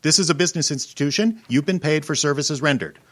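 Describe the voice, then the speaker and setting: A man's voice: fairly high pitch, fast talker, a bit bright, no room sound. A man acting a callous, impatient newspaper publisher in an old-time radio drama, brusque and imperious. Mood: angry, impatient. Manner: brusque, imperious, barking orders.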